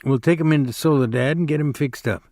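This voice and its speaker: Male reader as a level-headed ranch leader, firm and measured. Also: on the high side, darkish tone, no room sound, studio quality, close to an everyday voice.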